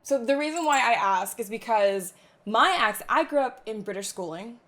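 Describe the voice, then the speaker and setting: A woman's voice: on the high side, lively intonation, moderate pace, a bit bright. A young adult woman with an American accent co-hosting an interview, asking curious questions in a chatty way full of "like". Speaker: podcast co-host. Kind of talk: casual interview chat.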